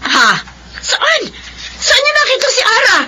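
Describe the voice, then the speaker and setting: A distraught, worried mother in a radio drama. Her voice is extremely high and swings sharply. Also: sing-song, unhurried, noisy background, heavily acted. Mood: worried, alarmed. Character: distraught worried mother of runaway teenage daughter.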